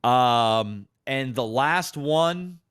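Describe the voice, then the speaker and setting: A man's voice: mid-range voice, expressive, extremely slow, fairly bright tone, smooth, dry recording, studio quality. A man hosting a casual podcast, talking in an opinionated, emphatic way with plenty of slang and swearing. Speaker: podcast host.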